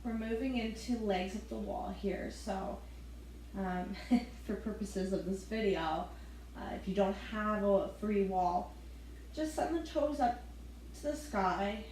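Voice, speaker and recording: Slow, soft-spoken woman yoga teacher in an echoey room. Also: mid-range voice, a little hiss or hum. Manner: soft step-by-step breathing cues with light laughs. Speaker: yoga instructor. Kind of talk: guided gentle yoga class.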